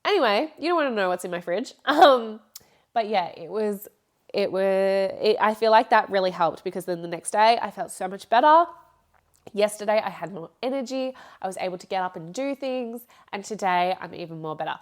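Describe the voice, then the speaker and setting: A young woman talks casually through her solo podcast, her voice nasal from a blocked nose. She rambles and corrects herself often and laughs between thoughts. Her pitch sits in a middle register. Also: animated, normal speed. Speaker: podcast host.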